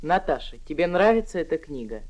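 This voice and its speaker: A woman with a fairly low voice reads textbook dialogues. Her delivery is even and clear. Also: fairly quick.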